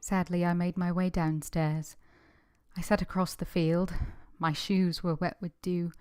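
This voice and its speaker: A woman's voice: fairly low pitch, little pitch movement, a little slow, dark tone, clear, resonant tone, faint music behind, close to an everyday voice. A woman playing an earnest, steadfast young governess who tells her own story in a radio drama, restrained and sorrowful. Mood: sorrowful narration. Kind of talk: period radio drama narration and acting.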